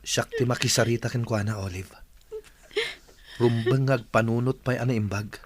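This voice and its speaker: A protective male friend, acted by a man in a radio drama, speaking at a medium pitch with an Ilocano accent. He sounds concerned and consoling. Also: fairly even intonation, normal speed, crowd noise, lightly acted.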